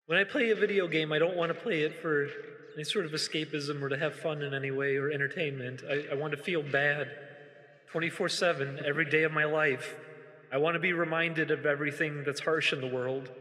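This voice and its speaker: A man's voice: on the high side, fairly even intonation, fairly quick, pushed and bright. A man ranting sarcastically in a mock-earnest comedy bit. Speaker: comedy animation voice actor. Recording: long reverb.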